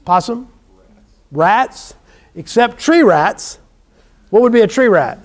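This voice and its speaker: A man's voice: sits high, expressive, slow. A man from South Louisiana with a Southern US accent, bantering informally with a class as a lecturer, folksy, joking and prone to exclaim. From South Louisiana, USA. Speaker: university lecturer. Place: university classroom.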